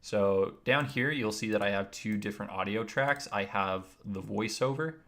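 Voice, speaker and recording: A man with a naturally deep voice, explaining his video editing steps one by one in a casual, rambling livestream. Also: normal speed, fairly bright tone. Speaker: streamer.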